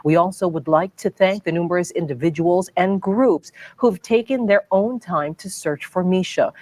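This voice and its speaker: A woman reading scripted television news in a formal, measured anchor style. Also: fairly low pitch, a little mellow. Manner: formal, measured newsreader delivery.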